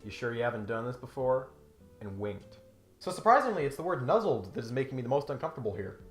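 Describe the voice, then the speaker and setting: Man, comedic video narrator, animated pitch, sardonic and exasperated, roomy sound, faint music behind. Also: fast talker. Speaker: host of a comedic critique video. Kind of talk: scripted comedic narration with mock-serious readings and exasperated asides.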